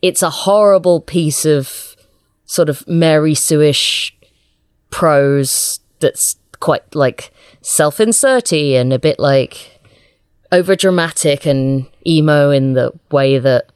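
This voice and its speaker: A woman's voice: low-pitched, takes it slowly, a little mellow, clear, resonant tone, no room sound, studio quality. A woman chatting casually as an interview guest, a writer with a hesitant, self-deprecating manner.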